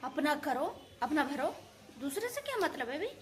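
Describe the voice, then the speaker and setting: High-pitched woman in an indignant rant. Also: sing-song, normal speed, a little hiss or hum. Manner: indignant, scolding, repetitive colloquial venting. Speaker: reaction video creator. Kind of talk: casual opinionated rant to viewers.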